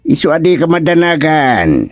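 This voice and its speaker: A man playing a confident, jolly king in a radio comedy drama, speaking theatrically with drawn-out exclamations. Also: a little high, sing-song, no echo, clean studio sound. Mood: reassuring, confident. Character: confident, jolly king going hunting with a medallion.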